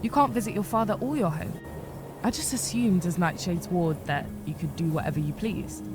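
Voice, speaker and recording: A woman voicing a plain-spoken young rabbit heroine in a fantasy visual novel, matter-of-fact and gently dry. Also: fairly low pitch, normal speed, close to an everyday voice. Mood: concerned, frank. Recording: music behind the voice.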